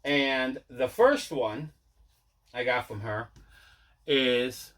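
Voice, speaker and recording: Male vlog host, bright forceful voice, relaxed rambling unboxing chat with repeats. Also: medium pitch, very slow. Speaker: video host showing mail and movie collection.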